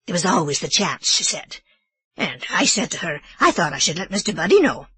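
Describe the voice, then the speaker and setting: A woman's voice: fairly low pitch, big pitch swings, brisk, fairly bright tone, breathy edge, dry recording, exaggerated voice. A woman voicing an anxious elderly housekeeper woman with an Irish brogue, apologetic and deferential. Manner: apologetic, deferential, sir-ing constantly.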